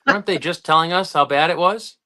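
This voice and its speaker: A man's voice: on the high side, fast talker, sharp tone. A man co-hosting a casual talk show, bantering and laughing easily. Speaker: podcast co-host. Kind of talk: casual chat.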